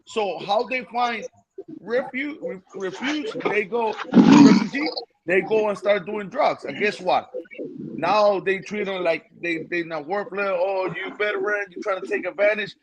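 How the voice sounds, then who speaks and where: A man's voice: high-pitched, unhurried, heavy background noise. A man from Rico, a guest speaking passionately and repeating words for emphasis in casual panel talk. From Puerto Rico. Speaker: guest, boxer.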